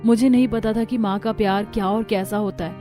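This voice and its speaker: A woman's voice: mid-range voice, steady tone, fairly quick, warm tone, faint music behind, lightly acted. A woman playing a timid, anxious young bride who speaks meekly and politely in a cartoon story. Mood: sad. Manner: meek, polite, nervous. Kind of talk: Hindi cartoon story character acting.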